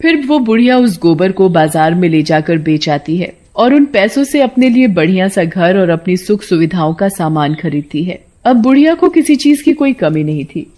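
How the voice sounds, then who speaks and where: A woman tells a scripted village folktale as narrator. Her voice sits a little low, in a storybook delivery. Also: sing-song, normal speed, few pauses, mellow.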